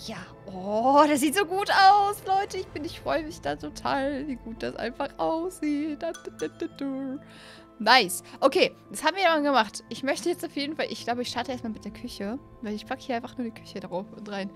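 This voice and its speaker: A young woman commentates a game she is playing in a high, very lively voice. She gushes, exclaims and repeats her phrases, dropping in English catchphrases. She speaks close to a desk mic, with faint music behind her. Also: moderate pace. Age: young adult. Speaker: YouTube gaming host. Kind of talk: excited play-along game commentary. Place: small room at home, indoors. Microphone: desk microphone up close.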